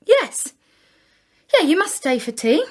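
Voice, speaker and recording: An actress playing a sensible, teasing young woman in a radio drama, brisk and affectionate. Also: very high voice, sing-song, full character voice. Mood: welcoming. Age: young adult.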